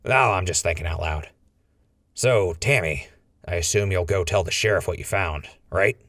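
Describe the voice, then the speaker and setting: A man's voice: low voice, moderate pace, very noisy. A man playing a wry, inquisitive sidekick investigator in a radio drama, folksy and conversational.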